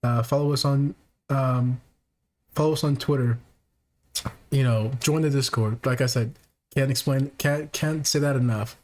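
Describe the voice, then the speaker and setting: Male podcast host, mid-pitched, soft-spoken, rambling casual monologue full of "um"s. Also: steady tone. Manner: soft-spoken, halting, many fillers and restarts. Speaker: technician.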